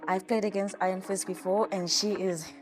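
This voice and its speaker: A woman's voice: mid-range voice, little pitch movement, normal speed. A woman gamer speaking in a documentary interview. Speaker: competitive gamer. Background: background music.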